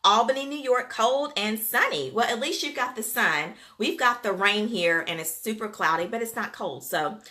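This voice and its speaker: A woman's voice: fairly high pitch, animated, normal speed, hardly any pauses, sharp tone. A woman with a Southern US accent, hosting a casual livestream and chatting folksily with viewers. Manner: chatty, folksy, addresses viewers as "you guys" and "y'all". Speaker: livestream host, daycare business coach. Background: Gulf Coast.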